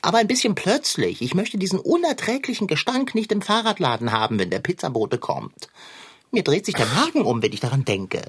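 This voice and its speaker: A woman's voice: lowish voice, big pitch swings, fairly quick, slightly rough. A woman voicing a fussy, haughty male tomcat in a children's radio play, with prim, pompous wording. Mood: disgusted, prim.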